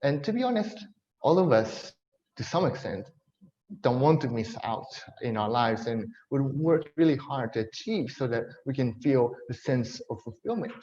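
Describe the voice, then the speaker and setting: A man's voice: mid-range voice, expressive, normal speed, dark tone, a little hiss or hum. Man, japanese accent mixed with american and british, church talk, friendly, lots of fillers, slightly muffled. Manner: friendly, with many fillers and self-deprecating jokes. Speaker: speaker at a church event.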